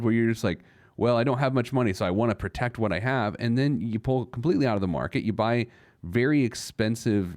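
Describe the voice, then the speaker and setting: A man's voice: medium pitch, a little mellow, no room sound, studio quality. A man hosting a personal finance radio show off the cuff, emphatic and often answering his own rhetorical questions. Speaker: radio host, financial planner.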